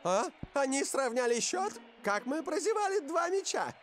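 A man's voice: very high-pitched, very animated. An actor playing an exuberant, easily amazed older male football commentator in a cartoon. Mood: baffled. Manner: exuberant, drawn-out exclamations.

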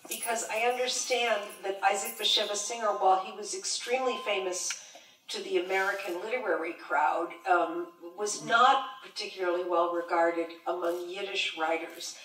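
A woman's voice: medium pitch, fairly even intonation, moderate pace, fairly bright tone, far from the mic. A woman in the audience asking the speaker a question, appreciative and polite. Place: large lecture room. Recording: echoey hall, handheld mic from afar, faint hum.

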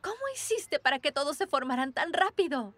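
A woman's voice: high-pitched, expressive, brisk, a bit bright, no room sound. A woman playing a friendly, encouraging rabbit schoolteacher in a children's cartoon dub. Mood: pleased, curious. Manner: encouraging, praising. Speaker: teacher.

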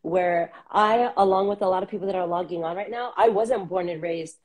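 A woman in her thirties from Southern California, a guest talking reflectively over a video call on earbuds, with many pauses and fillers. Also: fairly low pitch. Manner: warm, reflective, many ums. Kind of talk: casual interview on a video call. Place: small room indoors.

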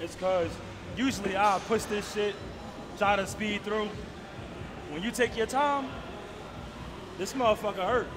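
A man's voice: sits high, measured pace, long pauses, noisy background. A man bantering casually in a gym with laid-back slang and trash talk. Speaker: athlete. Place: large indoor gym. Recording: loud music over the voice. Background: loud gym music.

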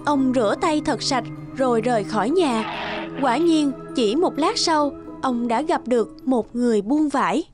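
A woman's voice: high voice, animated, measured pace, music in the background. A woman reading scripted narration for a children's story.

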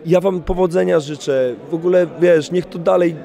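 A man's voice: high voice, normal speed, gravelly, crowd noise. A man in his thirties speaking casually in a post-fight interview at an event press wall, swearing and apologetic, into a handheld mic.

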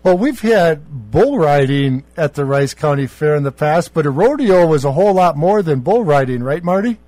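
A male radio host chats with his guests. His voice sits fairly high for a man, and the sound is dry and close. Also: expressive, moderate pace, a little mellow, dry recording. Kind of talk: conversational radio interview.

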